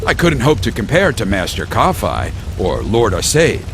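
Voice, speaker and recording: A man's voice: on the low side, wildly swooping pitch, moderate pace, a bit bright, a little hiss or hum, full character voice. A man playing a proud, honorable middle-aged baron in a video game, speaking formally and firmly. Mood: proud resolve. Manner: formal, firm, declamatory. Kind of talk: dramatic video game acting.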